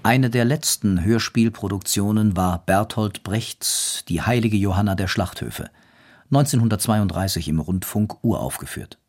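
A man's voice: fairly low pitch, a little slow, a little mellow. A man delivering scripted narration for a historical radio documentary.

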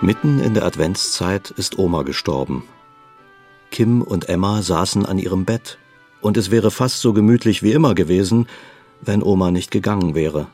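A man's voice: low-pitched, normal speed, a little mellow, faint music behind. A man narrating a scripted radio play as its storyteller.

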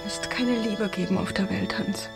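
An actress playing a self-assured, warm-hearted young typist in a German radio play, speaking directly and decisively. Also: female voice, medium pitch, fairly even intonation, normal speed, vocal fry, natural voice. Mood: sympathetic, subdued. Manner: direct, decisive, clipped sentences. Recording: music behind the voice.

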